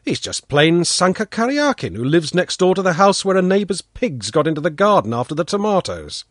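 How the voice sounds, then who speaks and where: A man voicing an earnest boy who argues his point quickly. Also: high-pitched, very animated, no echo, clean studio sound, pitched above the performer's own voice.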